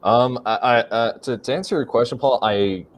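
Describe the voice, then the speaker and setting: A man's voice: mid-range voice, normal speed, lo-fi sound. A man on a casual livestream podcast, reading out viewer questions hesitantly with many fillers. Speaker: co-host reading chat questions.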